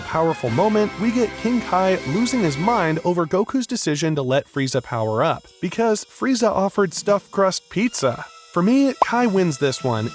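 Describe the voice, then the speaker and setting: Man narrating a review over music. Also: sits high. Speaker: video reviewer. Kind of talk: scripted narration. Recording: background music clearly heard.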